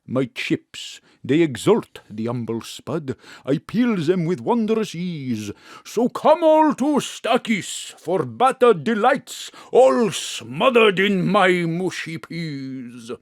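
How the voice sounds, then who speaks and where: Flirty Greek landlord, thick on charm, Greek accent, high lively voice, dry studio sound. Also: male voice, takes it slowly, no room sound, full character voice. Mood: showy, proud. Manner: showy, broken English, wheedling. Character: flamboyant, flirtatious Greek landlord and chip-shop fryer. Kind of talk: comic audio drama acting.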